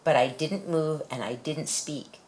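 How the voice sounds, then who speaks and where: A woman with a low-pitched voice reads a picture book aloud for young children. Her delivery is expressive storytelling, in a deep female register. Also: measured pace, poor audio. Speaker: storybook reader.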